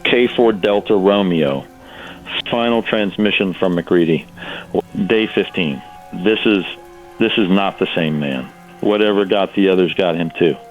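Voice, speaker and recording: A man playing a grave radio operator sending an urgent, halting warning through a radio filter in an audio drama. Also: low-pitched. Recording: muffled lo-fi radio with music.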